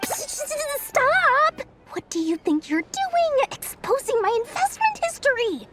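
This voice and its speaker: A woman's voice: very high-pitched, sing-song, slow pace, over loud music, heavily acted. A woman playing a haughty, brash young female investor in a video game. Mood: flustered, indignant. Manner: haughty, brash, self-important, easily flustered. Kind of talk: video game cutscene acting.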